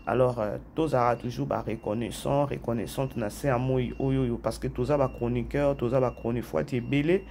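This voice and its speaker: A man's voice: medium pitch, fairly even intonation, brisk, creaky, few pauses, darkish tone, a little hiss or hum. A man who has a head cold, hosting a chatty commentary vlog, repeating phrases and talking straight to his viewers. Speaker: YouTube channel host. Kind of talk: casual commentary vlog, mixing French and Lingala.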